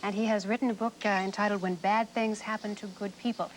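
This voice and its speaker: Woman TV host, mid-pitched, faint noise. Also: steady tone, fast, rough recording. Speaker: TV host and interviewer. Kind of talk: interviewing and presenting to viewers. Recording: faint noise, older TV recording.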